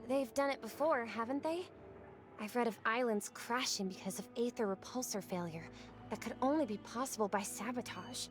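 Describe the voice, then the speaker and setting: A woman's voice: on the high side, moderate pace, faint music behind, natural voice. An actress playing a naive, bookish young woman in a 1930s-set game, earnest, precise and a little hesitant.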